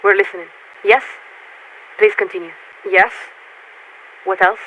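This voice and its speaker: An actress playing a formal, detached military warship radio officer in a video game, speaking in clipped official procedure through a radio filter. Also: female voice, medium pitch, steady tone, measured pace. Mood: formal, probing. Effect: radio transmission filter. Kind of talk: scripted video game voice acting. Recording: narrow, tinny radio link with static.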